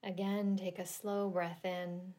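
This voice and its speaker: A woman leading a guided relaxation meditation in a gentle, soothing, deliberate manner with long pauses. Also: on the low side, level tone. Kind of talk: guided yoga nidra meditation.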